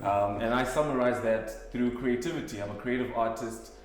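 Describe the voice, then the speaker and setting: A man's voice: mid-range voice, normal speed. A young man from Zimbabwe, a guest in a casual group chat, playful and jokey. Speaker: guest, creative artist. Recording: very echoey room.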